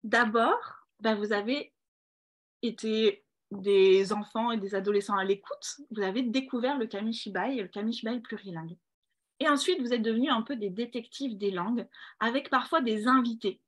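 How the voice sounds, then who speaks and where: A woman presents an award ceremony over a video call, addressing children and teachers. Her fairly high voice is encouraging, with many small hesitations. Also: moderate pace. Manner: warm, encouraging, with fillers. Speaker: ceremony host.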